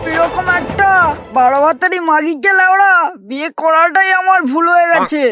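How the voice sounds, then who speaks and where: A man voicing a bitter, foul-mouthed older husband in a comic cartoon, scolding in a pitched-up voice. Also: moderate pace. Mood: bitter grumbling. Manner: bitter, scolding, repetitive swearing. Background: loud music.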